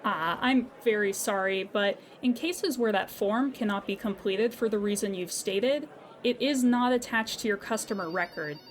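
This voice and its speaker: A woman playing a patient, courteous insurance customer service agent in a scripted audio comedy, speaking formally and with measured politeness. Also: mid-range voice, slight background noise, lightly acted. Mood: patient, apologetic. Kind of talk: scripted comedy audio drama phone call.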